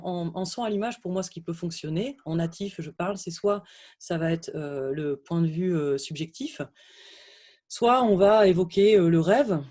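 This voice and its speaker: A woman's voice: lowish voice, mellow. A woman sound engineer on a panel over a video call, talking hesitantly with many fillers and growing emphatic. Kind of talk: technical panel discussion.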